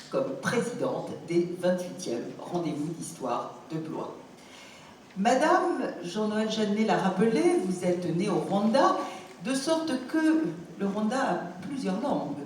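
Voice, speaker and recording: A woman moderating a formal stage interview, speaking in an expressive, emphatic way. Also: mid-range voice, takes it slowly. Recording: echoey hall, distant mic, faint noise.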